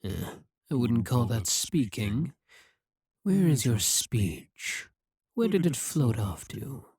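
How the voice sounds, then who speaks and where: Husky, possessive demon king. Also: mid-range voice, big pitch swings, darkish tone, no room sound, clean studio sound, exaggerated voice. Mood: musing. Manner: slow, measured, intimate delivery. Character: scheming demon king, possessive, intense, male. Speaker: voice actor. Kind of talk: audio roleplay acting.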